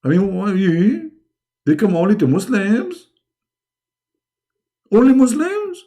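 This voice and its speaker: Man, middle-aged, second-language English, mocking debate host, fry in the voice. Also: sits high, big pitch swings, slow, warm tone. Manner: mocking, sarcastic, emphatic. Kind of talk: live online debate, addressing callers.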